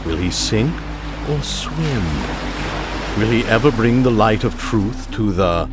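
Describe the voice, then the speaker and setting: Animated, pompous 1930s radio announcer. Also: male voice, on the low side, slow, heavy background noise. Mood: ominous. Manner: pompous, dramatic. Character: theatrical, grandiose 1930s male radio serial announcer. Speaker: narrator and announcer. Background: loud music.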